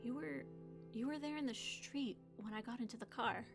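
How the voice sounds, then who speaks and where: A shaken, defiant young woman in a hospital drama, with a fairly high voice. She both speaks and narrates in the first person, with clear music underneath. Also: moderate pace. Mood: weak, frightened.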